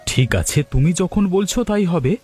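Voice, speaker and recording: A man's voice: high-pitched, expressive, moderate pace, darkish tone, faint music behind. A man voicing a meek, hesitant village husband in a cartoon, sounding doubtful and mildly chiding. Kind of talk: Bengali village cartoon dubbing.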